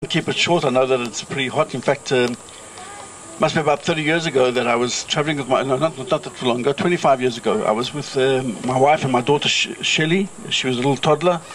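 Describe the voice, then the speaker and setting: A man's voice: mid-range voice, quick pace, fairly bright tone, slight background noise, rough recording. A middle-aged man from South Africa with a South African accent, chairing a kibbutz and giving a chatty, anecdotal speech to a reunion crowd outdoors. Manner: chatty anecdotal storyteller with filler-laden restarts.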